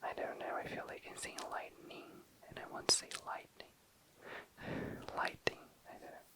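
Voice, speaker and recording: An ASMR creator speaking in a breathy, unvoiced whisper, lingering softly over words as in a relaxed show-and-tell, close to the microphone. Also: normal speed.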